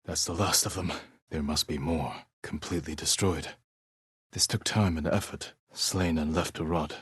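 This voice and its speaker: An actor playing a stoic, honorable samurai warrior in a video game, speaking in a measured, earnest and restrained way. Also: male voice, extremely low pitch, fairly even intonation, husky, no room sound, studio quality, heavily acted. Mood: somber, hushed. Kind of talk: scripted video game voice acting.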